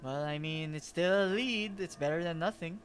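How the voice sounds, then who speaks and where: Streamer, young man, Filipino accent, fairly high voice, laughs a lot while rambling. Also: moderate pace, a little hiss or hum. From Philippines. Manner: chatty, rambling, self-deprecating, laughs a lot. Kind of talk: casual game-stream chat.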